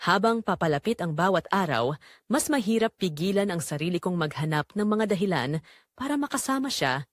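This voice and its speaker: Casting: female narrator as lonely wealthy wife with secret longing. Measured, confessional storytelling, lively pitch movement, dry recording. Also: dry recording, natural voice. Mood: yearning, secretive. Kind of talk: dramatic first-person story narration.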